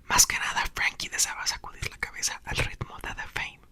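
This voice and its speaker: A young man softly whispering a book aloud for ASMR, with playful chatty asides. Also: a little fast. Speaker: ASMR host reading aloud.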